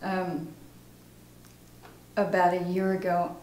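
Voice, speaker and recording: In a roomy, echoing space, an actress plays a recovering alcoholic mother making her confession. She is halting, sombre and weighed down by guilt, in a dramatic stage monologue with faint noise behind it. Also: lowish voice, level tone, darkish tone. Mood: subdued, ashamed.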